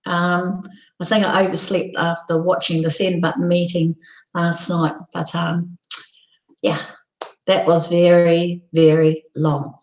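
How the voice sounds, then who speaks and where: Croaky-voiced woman, slow and low. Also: flat delivery, reverberant, rough recording. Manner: rambling, many ums and restarts. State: hoarse, croaky voice. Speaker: host of a live broadcast. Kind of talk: casual live-stream chat.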